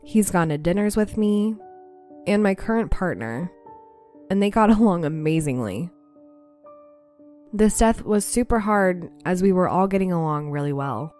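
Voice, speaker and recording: A woman's voice: on the low side, animated, measured pace, long pauses, a little mellow, faint music behind. A young woman hosting a podcast, chatting casually and reading aloud, slightly nasal, laughing easily. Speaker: podcast host.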